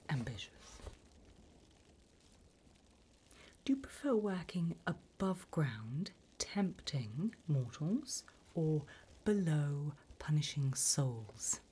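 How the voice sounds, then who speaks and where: A sly female demon, played by a woman, conducts an interview in an ASMR roleplay. The voice is almost all breath, a soft low whisper. Each phrase comes slowly and deliberately, with polite, teasing menace. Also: sing-song, slightly rough, full character voice. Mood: silky, probing.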